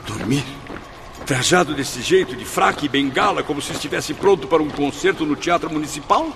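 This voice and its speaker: A man playing an anguished, exhausted and proud lost soul in a radio drama, with a gravelly voice. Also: a little high, lively intonation, brisk, noisy background. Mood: scornful. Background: music.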